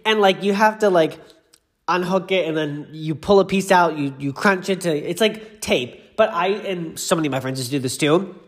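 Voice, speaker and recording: A man's voice: sits high, normal speed. A man hosting a casual solo pop culture podcast, gushing and effusive, rambling off on asides and sounding congested from allergies.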